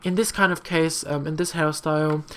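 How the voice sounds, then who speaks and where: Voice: medium pitch, fairly even intonation, moderate pace, a bit bright. Young non-native english speaker doing a casual screen tutorial, rambly, says um and like a lot, keeps apologizing, bit sassy. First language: not English. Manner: rambling and chatty, many fillers, often apologizing; frank, slightly sassy asides. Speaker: tutorial maker.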